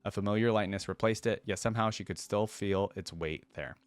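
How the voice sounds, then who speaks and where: A man's voice: lowish voice, brisk, no echo. A man hosting a reaction video, reading a fantasy story aloud and breaking off into casual, slangy commentary. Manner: informal, slangy asides.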